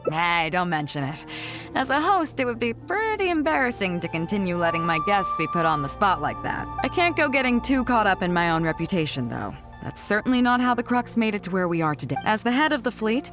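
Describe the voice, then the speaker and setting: A woman's voice: mid-range voice, big pitch swings, brisk, music in the background, close to an everyday voice. An actress playing a confident, easygoing woman fleet captain in a video game, with an assured, commanding, colloquial manner. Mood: warm, candid.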